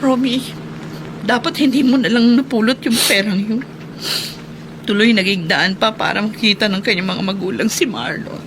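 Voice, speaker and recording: A woman's voice: mid-range voice, normal speed, noisy background, close to an everyday voice. An actress playing an anguished, protective working-class mother, plaintive and emotional in a Filipino radio drama. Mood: reproachful.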